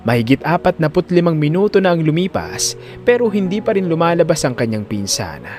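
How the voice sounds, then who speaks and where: A man's voice: fairly high pitch, very animated, a little fast, darkish tone, soft background music. A man with a Filipino accent narrating an audio drama in a scripted, dramatic storytelling style.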